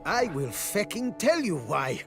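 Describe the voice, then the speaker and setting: Fervent romantic storyteller for a fantasy game, lighter high-set voice, swooping and emphatic, excitable. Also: male voice, unhurried, exaggerated voice. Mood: indignant, fervent. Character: earnest, excitable male teller of romantic folk tales. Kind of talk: video game character acting. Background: game music.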